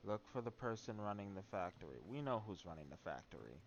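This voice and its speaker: A man's voice: lowish voice, sing-song, quick pace, dull audio, a little hiss or hum. A man giving casual live commentary while playing a video game, sometimes reading text aloud. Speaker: game streamer.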